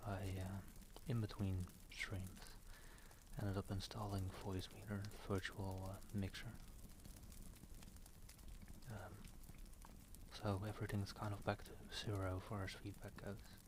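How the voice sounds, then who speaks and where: A man hosting an ASMR stream, speaking softly and often slipping into a whisper as he chats and reads. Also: deep voice, level tone, a little slow, background noise. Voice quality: soft, often breaking into whisper. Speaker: live-stream host and reader. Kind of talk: ASMR, soft casual chat and storybook narration.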